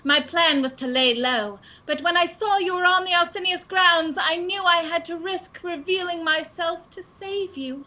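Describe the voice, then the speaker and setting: A woman's voice: very high-pitched, moderate pace, few pauses, pushed and bright. A woman playing a melodramatic detective in a comedic radio play, declaiming her deductions theatrically. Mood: dramatic, heartfelt. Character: melodramatic woman detective, triumphant and dutiful daughter.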